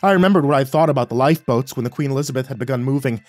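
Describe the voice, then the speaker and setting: A man narrating a first-person horror story in a tense, halting way with stammered restarts. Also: medium pitch, no room sound. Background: faint music.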